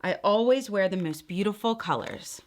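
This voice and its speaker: A woman's voice: mid-range voice, expressive, normal speed, natural voice. A woman reading the part of a patient grandmother who looks back reflectively on her childhood in a picture book.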